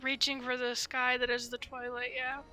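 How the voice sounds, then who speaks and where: Female live game commentator, high voice, rambling fan musings, faint music underneath. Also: monotone, moderate pace, pushed and bright, clean tone. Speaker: game streamer.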